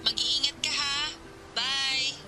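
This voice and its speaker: An actress playing a caring Filipino older sister in a radio drama. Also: female voice, high-pitched, steady tone, takes it slowly, over loud music. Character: concerned older sister on a phone call.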